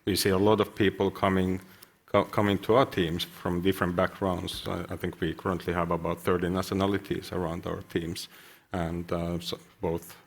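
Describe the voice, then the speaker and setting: Low flat voiced guy moderating a panel, quiet, says yeah a lot. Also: moderate pace, vocal fry. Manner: soft-spoken, many backchannel yeahs.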